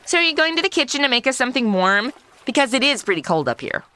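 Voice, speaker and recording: A woman's voice: high voice, big pitch swings, fast talker, noisy background. An actress playing a sassy, outspoken modern woman, sarcastic and indignant, in a comedy audio drama.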